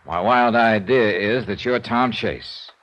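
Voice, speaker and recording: A man's voice: fairly low pitch, takes it slowly, a bit bright. A man starring in and narrating a 1950s radio crime drama, wry, tough and world-weary. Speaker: lead actor and narrator. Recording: vintage radio transcription.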